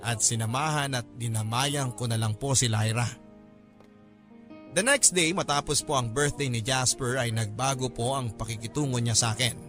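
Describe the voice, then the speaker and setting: A man's voice: medium pitch, a bit bright. A man narrating a scripted first-person story as a radio host, polite and conversational. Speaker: radio drama host reading a letter. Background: background music.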